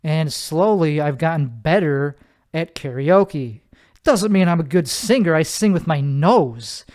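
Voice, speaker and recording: A man's voice: on the high side, expressive, unhurried, a little mellow. A man hosting his own solo podcast from his bedroom, with a nasal voice, rambling casually and correcting himself often. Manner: rambling, self-correcting, many fillers. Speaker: podcast host.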